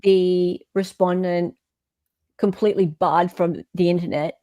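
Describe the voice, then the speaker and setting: A woman's voice: fairly low pitch, steady tone, a little slow, dark tone, dry recording. An Australian woman hosting an online show, reading a legal filing aloud with emphatic, incredulous off-the-cuff commentary.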